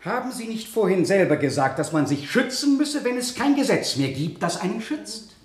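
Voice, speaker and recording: An actor playing a relentless, sarcastic prosecutor in a German radio drama, questioning in a pointed, theatrical way. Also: male voice, sits high, very animated, normal speed, reverberant. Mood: pressing, incredulous. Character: relentless, sarcastic male prosecutor cross-examining the accused.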